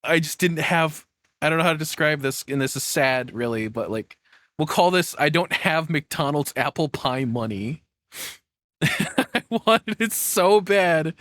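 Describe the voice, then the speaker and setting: A man's voice: fairly high pitch, a bit bright, dry recording, studio quality. A man giving casual, rambling reaction commentary, often repeating himself as he thinks aloud and dropping the odd swear. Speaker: streamer reacting to a video.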